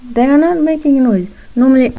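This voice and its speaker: A shy young woman who grew up in Morocco chats casually while drawing at home, halting often and laughing between thoughts. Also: sits high, muffled recording. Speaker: vlogger, aspiring character designer.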